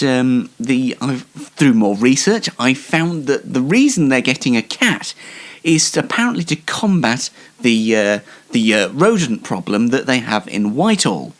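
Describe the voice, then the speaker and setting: A man hosting a casual online show, talking in a halting, self-deprecating and wry way. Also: medium pitch. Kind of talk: casual live show monologue with light news commentary.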